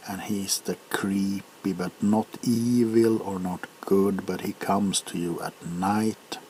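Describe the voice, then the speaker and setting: A man with a low voice chats in a loose ASMR style. He talks very slowly and hesitantly, pausing as he goes. A faint hiss sits behind the voice. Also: steady tone, darkish tone, low-quality recording. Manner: halting, unhurried, hesitant. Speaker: ASMR video creator. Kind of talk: ASMR rambling chat.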